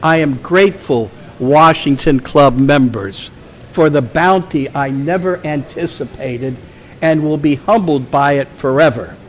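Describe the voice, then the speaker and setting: A man reading a written tribute aloud at a meeting in a measured, formal way. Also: a little high, slight background noise. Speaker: club member presenting an award and reading a tribute.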